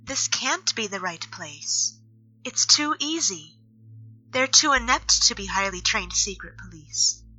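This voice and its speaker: A woman's voice: medium pitch, lively intonation, unhurried, bright, forceful tone, full character voice. A woman playing a serious, guarded elf nature mage in an audio drama, speaking in earnest, precise wording. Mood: doubtful. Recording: slightly dull audio, music underneath. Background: music.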